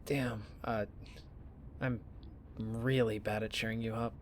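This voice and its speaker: A man playing a pushy, teasing college student in an audio drama, with an expressive, bantering delivery. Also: fairly low pitch, slow, a little hiss or hum. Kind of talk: naturalistic audio drama acting.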